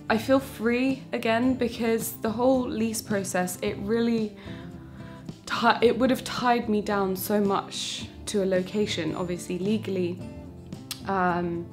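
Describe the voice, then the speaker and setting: A young woman in her twenties, talking casually to camera as a vlogger in her small home bakery kitchen, with lots of fillers and restarts. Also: medium pitch. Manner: chatty, warm-hearted, many fillers and restarts. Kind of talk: casual vlog talk to camera.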